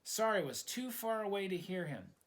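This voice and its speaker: A man reading a children's story aloud with dramatic, expressive narration aimed at young listeners. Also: high-pitched, normal speed. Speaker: storytime reader.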